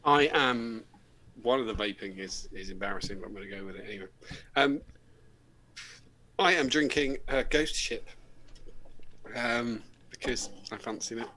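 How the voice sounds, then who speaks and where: A British man trades loose, joking banter as co-host of a live show. His voice sits at a middling pitch. A faint background noise runs under the recording. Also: moderate pace, long pauses, sharp tone, poor audio. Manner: banter, jokey, laughs often. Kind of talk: casual chat.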